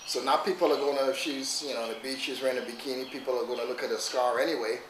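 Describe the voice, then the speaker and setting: A man's voice: medium pitch, fairly even intonation, very fast, fairly bright tone, a little hiss or hum. Middle-aged Trinidadian father, echoey room. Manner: rambling, full of "you know" and "like". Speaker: interviewee, father. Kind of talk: casual interview. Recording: echoey room, mic at a distance.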